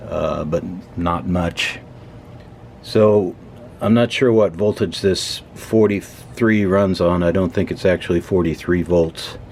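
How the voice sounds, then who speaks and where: A man's voice: sits low, a little mellow, a little hiss or hum. A man casually talking through a hands-on teardown as host, matter-of-fact and tentative as he thinks aloud. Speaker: host of an electronics teardown video. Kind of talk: casual unscripted hands-on explanation.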